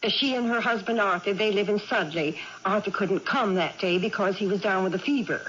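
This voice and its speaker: A woman's voice: medium pitch, fairly quick, fairly bright tone, lightly acted. An actress playing a mild, obliging older farm mother in a vintage radio drama, patient and a little hesitant. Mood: mild, accommodating. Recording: muffled noisy old radio broadcast.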